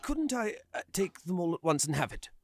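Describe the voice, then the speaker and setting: A man playing a nervous, reluctant old miser in a Victorian audio drama, husky and hesitant. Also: sits high, very animated, brisk, faint music behind, exaggerated voice. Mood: timid, pleading.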